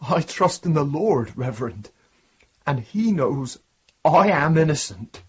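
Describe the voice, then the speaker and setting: An actor playing a young condemned prisoner in a radio drama, deferential and wounded, reluctant to confide. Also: male voice, fairly high pitch, sing-song, measured pace, slightly rough, lo-fi sound, heavily acted. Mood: pleading, guarded. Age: young adult. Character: haunted young condemned prisoner protesting his innocence.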